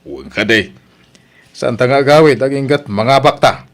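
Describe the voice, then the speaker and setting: A man's voice: mid-range voice, moderate pace, natural voice. A man playing a jovial older uncle out gambling in the village, in a radio drama. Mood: grumbling. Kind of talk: radio drama acting in Ilocano.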